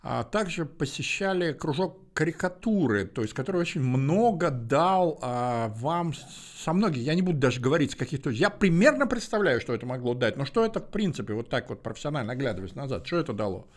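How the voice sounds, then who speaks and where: A man's voice: a little high, very animated, fairly quick, few pauses. A middle-aged man hosts a casual interview, putting his questions in a meandering, roundabout way. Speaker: podcast host.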